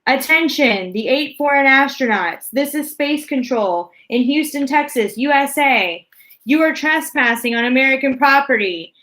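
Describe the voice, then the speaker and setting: Here is a booming, commanding loudspeaker voice from mission control, as a woman reading a storybook puts it on. It is pitched well above her own reading voice, loud and barking, and it hammers out threats and orders with menace. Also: lively intonation, normal speed, poor audio.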